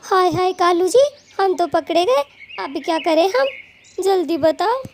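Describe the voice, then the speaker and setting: A woman's voice: very high voice, lively intonation, unhurried, a little hiss or hum, full character voice. A woman voicing a greedy, lazy female thief bird in a children's cartoon, coy and melodramatic. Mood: panicked, pleading. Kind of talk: children's cartoon story acting.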